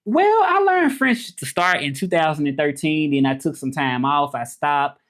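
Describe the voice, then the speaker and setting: A man's voice: fairly high pitch, sing-song, moderate pace, a bit bright. A man in his thirties from Memphis with a Southern accent, chatting loosely on a livestream, greeting viewers and repeating himself as he talks. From Memphis, Tennessee. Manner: loose, repetitive, greets viewers and urges subscribing. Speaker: livestream host and French teacher. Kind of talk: casual livestream chat.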